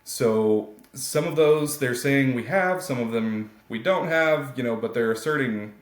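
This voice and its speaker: A man's voice: medium pitch, a little slow. An American man giving informal, explanatory answers as an attorney being interviewed. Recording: roomy, echoey sound.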